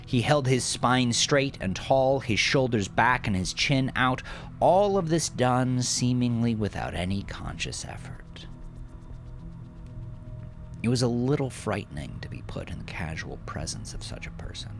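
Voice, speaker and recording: A man narrating scripted fiction in a dramatic style, as the narrator of an audio drama. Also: medium pitch. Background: music.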